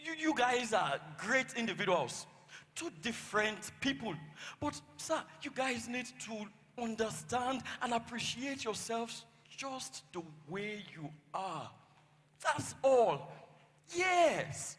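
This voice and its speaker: A man's voice: very high voice, sing-song, takes it slowly, fairly bright tone, exaggerated voice. A man acting a nervous, loyal servant in a stage play, stammering and deferential. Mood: nervous, reassuring. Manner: stammering, repeats words, deferential. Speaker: stage actor. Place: theatre stage in a hall. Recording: reverberant hall.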